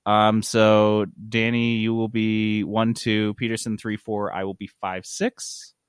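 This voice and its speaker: A man's voice: fairly low pitch, flat delivery, takes it slowly, clear, resonant tone, no room sound, clean studio sound. A man chatting casually as a gaming podcast host, with loose, irreverent, sweary talk. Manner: profane, irreverent.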